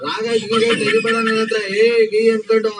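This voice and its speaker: Male prank-call RJ, rapid argumentative delivery, slightly high voice, distant phone audio with loud music. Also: monotone, very noisy. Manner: provocative, argumentative, needling. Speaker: radio jockey making prank calls.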